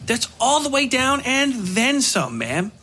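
A man's voice: extremely high pitch, sing-song, takes it slowly, pushed and bright, slight background noise, pitched above the performer's own voice, heavily acted. A man voicing a slick, pushy typewriter salesman in an audio story, with emphatic sales patter. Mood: pushy, eager.

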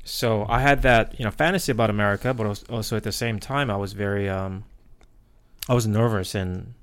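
A man with a Korean accent and native Korean, telling personal stories casually in a halting, reflective way, searching for words. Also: lowish voice, fairly even intonation, clear, resonant tone. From South Korea. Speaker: podcast host.

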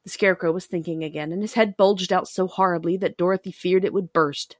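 A woman's voice: on the low side, fairly even intonation, a little fast, no echo, clean studio sound. A woman reading scripted storybook narration as an audiobook narrator.

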